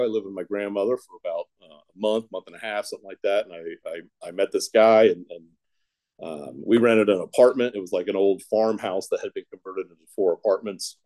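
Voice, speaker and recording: A man with a somewhat low voice, from Ohio, shares his recovery story in a casual, meandering way. He piles on fillers and restarts and turns his own mistakes into dry, self-deprecating jokes. It sounds like an unscripted talk to a group. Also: darkish tone. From Ohio, United States. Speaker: speaker sharing a recovery story.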